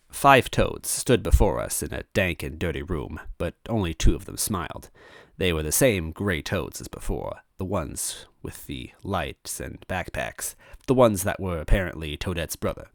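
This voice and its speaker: Animated male story reader, close and dry. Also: low-pitched, moderate pace, vocal fry, no room sound. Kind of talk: animated first-person story narration.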